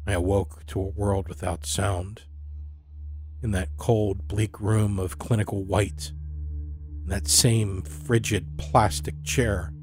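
A man's voice: fairly low pitch, darkish tone. A man reading scripted narration as the storyteller of a fiction podcast. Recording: music under the voice. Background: musical score.

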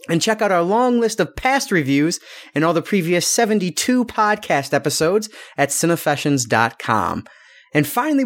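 Lively high-voiced man hosting a podcast. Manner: upbeat, lively, reads plugs and web addresses. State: getting over a cold. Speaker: podcast co-host. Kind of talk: casual podcast chat and sponsor reads.

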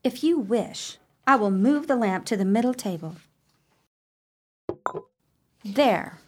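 A woman's voice: a little high, lively intonation, slow pace. A woman acting a frightened, grieving sister in a radio play, haunted and tearful. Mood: subdued, evasive.

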